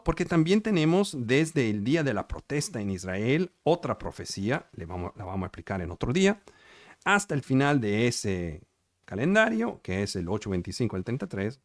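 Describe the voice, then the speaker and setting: Italian guy preaching, accent, lively swooping voice, dry close sound, keeps asking if you follow. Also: fairly low pitch, no room sound. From Italy. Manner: emphatic, repetitive, asks the audience if they follow. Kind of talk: sermon over video call.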